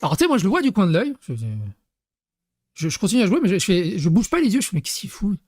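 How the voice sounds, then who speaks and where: A young man chats through his stories, stumbling over restarts and scattering fillers. His voice sits a little high for a man. The sound is dry and close, with no room around it. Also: sing-song, fast, a little mellow, dry recording. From Paris, France. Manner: rambling, lots of fillers and restarts, laughs at own stories. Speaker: YouTuber. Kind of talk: casual storytelling to camera.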